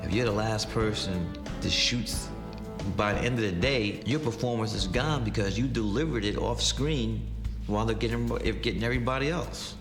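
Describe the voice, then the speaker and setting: A man's voice: fairly low pitch, moderate pace. A man interviewed for a behind-the-scenes film feature, explaining his work in a reflective way. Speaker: filmmaker interviewee. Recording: echoey room with music bed.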